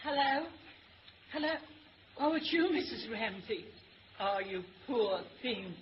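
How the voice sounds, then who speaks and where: A woman's voice: fairly high pitch, lively intonation, takes it slowly. An actress playing an anxious, fretful Australian country wife and mother in a 1930s radio serial. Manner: fretful, distressed. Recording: muffled 1930s radio recording with hiss.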